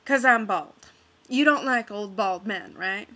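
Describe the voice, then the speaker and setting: A woman's voice: mid-range voice, expressive, unhurried, bright, forceful tone. Woman putting on a drawling old bluesman. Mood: coaxing. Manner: drawling dialect, coaxing.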